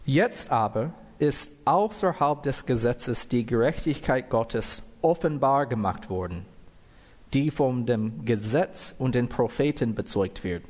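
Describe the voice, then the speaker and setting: American man preaching slowly in a hall. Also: mid-range voice, animated, darkish tone. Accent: American English. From United States. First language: English. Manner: careful, deliberate, with fillers and self-corrections. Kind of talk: sermon with scripture reading. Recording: long hall reverb.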